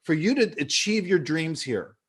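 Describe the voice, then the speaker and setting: A man's voice: a little high, very animated, moderate pace, breathy edge. A man in his fifties from California giving an earnest motivational talk, telling stories with many fillers and restarts. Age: 50s. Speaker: business leader speaking at an online event.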